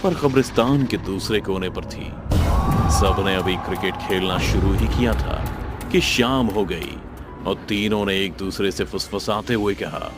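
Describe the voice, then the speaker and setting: A man's voice: sits low, unhurried. A man narrating a scripted horror story as a storyteller. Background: music.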